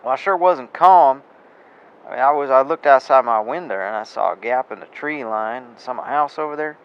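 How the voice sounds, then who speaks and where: An actor playing a plain-spoken rural farmer and landowner, rambling in folksy speech in an amateur radio drama. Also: male voice, mid-range voice, normal speed, clean tone, close to an everyday voice. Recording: dull lo-fi with faint noise.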